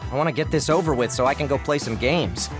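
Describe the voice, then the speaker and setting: A man's voice: mid-range voice, fast, over music, natural voice. A man playing a lazy young military operator in an anime-style video game, casual and weary. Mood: bored, grumbling.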